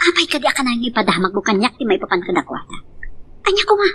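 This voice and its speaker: A woman's voice: on the high side, sing-song, very fast, fairly bright tone, a little hiss or hum. A woman playing a middle-aged, hurt but stubborn abandoned wife and devoted mother in an Ilocano love drama, in an emotional, pleading manner. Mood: hurt, bitter. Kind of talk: Ilocano radio-style love drama acting.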